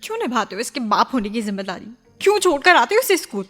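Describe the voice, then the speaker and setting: An actress playing a hurt, insistent young wife in an intense, emotionally raw radio drama. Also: female voice, fairly high pitch, big pitch swings, fast talker, fairly bright tone, soft background music. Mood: demanding, hurt. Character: hurt, insistent young wife, once widowed, pleading for love. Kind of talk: Hindi radio drama acting.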